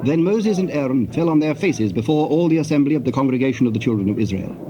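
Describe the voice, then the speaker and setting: A man's voice: mid-range voice, lively intonation, quick pace. A man narrating scripture in a formal scripted style. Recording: muffled, noisy old tape.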